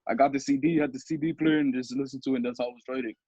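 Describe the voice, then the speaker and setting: A young man with a deep voice, a musician talking casually as an interview guest, rambling with restarts. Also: racing, husky, dry recording, dull audio. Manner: relaxed, rambling with restarts and laughs.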